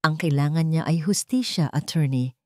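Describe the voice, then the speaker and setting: A woman's voice: sits low, normal speed, no room sound, clean studio sound, close to an everyday voice. A determined lady prosecutor in a melodramatic audio story, read by a woman narrator. She speaks seriously and firmly, with a stern sense of justice. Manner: serious, firm.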